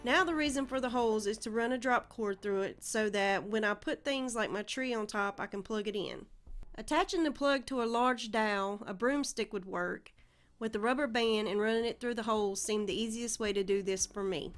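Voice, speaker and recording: A woman's voice: a little high, normal speed, soft background music. A woman with a Southern American accent narrating a home DIY project in a friendly, step-by-step way. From Southern United States. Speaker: DIY channel host. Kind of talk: instructional voice-over narration.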